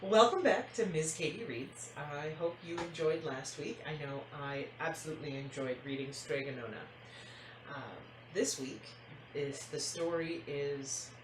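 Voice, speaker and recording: A woman in her thirties chatting casually as she introduces a picture book, expressive and enthusiastic. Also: low-pitched, measured pace. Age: 30s. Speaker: host of a picture-book read-aloud series. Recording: roomy, faint noise, distant mic.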